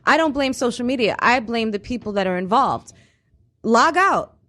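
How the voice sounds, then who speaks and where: Female radio co-host, slightly high voice, blunt and emphatic, conversational delivery. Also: lively intonation, moderate pace, a bit bright. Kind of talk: casual radio discussion. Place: radio studio.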